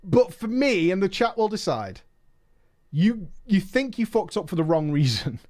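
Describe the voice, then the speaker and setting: Male streamer, story reader with commentary; bouncy, animated delivery, mocking side remarks. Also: high-pitched, moderate pace. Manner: emphatic, mocking asides. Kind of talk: reading a viewer's story aloud with commentary.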